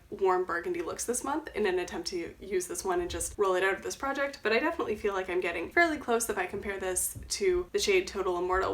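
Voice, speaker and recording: A young woman talks quickly and casually into her camera, her voice stuffy and nasal from a cold. She chats through her makeup update, often restarting a phrase. Her pitch sits in a middle range. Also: fairly bright tone. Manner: chatty, quick, self-correcting. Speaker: beauty vlogger.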